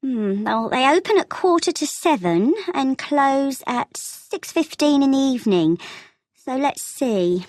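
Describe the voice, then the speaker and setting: A high female voice with very animated intonation, recorded close and dry. A woman acting as a helpful travel agent in an exam listening dialogue, explaining details in a clear, measured way. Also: no room sound. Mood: helpful, thoughtful. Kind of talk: scripted language-test dialogue acting.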